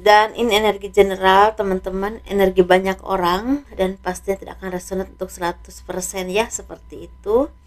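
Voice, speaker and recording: A slightly hoarse woman doing a casual tarot reading, speaking softly and letting her phrases trail off. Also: mid-range voice, steady tone, normal speed. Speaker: tarot reader.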